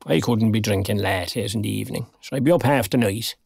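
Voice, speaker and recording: A gravelly older Irishman. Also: lowish voice, brisk, darkish tone, dry recording, studio quality. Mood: dry, genial. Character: older retired man, dry, proud, kindly. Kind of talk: naturalistic audio drama dialogue.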